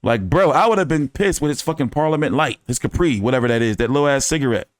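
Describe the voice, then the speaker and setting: A man's voice: medium pitch, animated, quick pace, no echo. A man hosting a casual fight-commentary live stream, talking in loose, profane, joking banter. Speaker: boxing commentary host.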